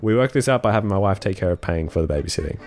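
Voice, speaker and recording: A man's voice: sits low, lively intonation, very fast, darkish tone, soft background music. A young man co-hosting a casual podcast, congested and sniffling, laid-back and swearing, stumbling as he reads a forum post aloud. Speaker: podcast co-host.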